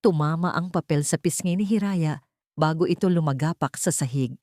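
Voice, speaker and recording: A woman's voice: deep voice, normal speed, a little mellow, no room sound, clean studio sound. A woman reading a scripted story as a narrator, in a dramatic storytelling style. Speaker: narrator of audio love stories.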